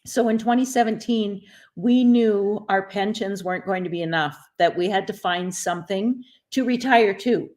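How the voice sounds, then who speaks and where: Woman, middle-aged, interview guest, video call, steady storytelling. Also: medium pitch, normal speed. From Canada.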